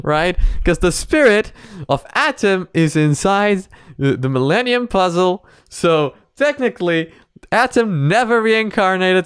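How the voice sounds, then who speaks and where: Guy doing chatty reaction commentary, smooth clear voice, dry close mic. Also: high-pitched, a bit bright, no room sound. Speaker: reaction video host.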